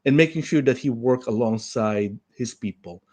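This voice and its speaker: A man from the Philippines, a leadership coach answering interview questions in an earnest, measured way. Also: mid-range voice, a little mellow.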